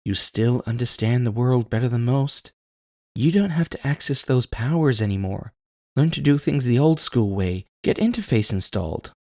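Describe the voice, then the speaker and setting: A man's voice: medium pitch, lively intonation, moderate pace, warm tone, no room sound, clean studio sound, voice pushed up, natural voice. A man voicing a fierce, blunt but caring tough woman who swears and challenges, in an audio story. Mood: firm, reassuring.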